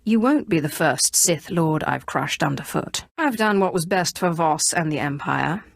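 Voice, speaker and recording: Low-voiced, imperious Sith sorceress. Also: female voice, animated, normal speed, creaky, dry recording, studio quality, heavily acted. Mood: cold, threatening, then measured, persuasive. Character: commanding Sith sorceress, cold, haughty, calculating adult woman. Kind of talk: video game character dialogue.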